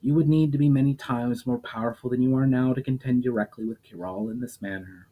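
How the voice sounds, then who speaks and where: A man voicing a calm, knowing female water spirit who muses thoughtfully in a storybook reading. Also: medium pitch, a little fast, phone-quality sound, close to an everyday voice. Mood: musing. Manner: musing, thoughtful.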